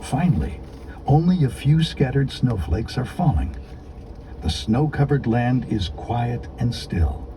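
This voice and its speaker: A man narrating a children's storybook in an expressive, deliberate, scripted storytelling delivery. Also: medium pitch, noisy background. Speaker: audiobook narrator.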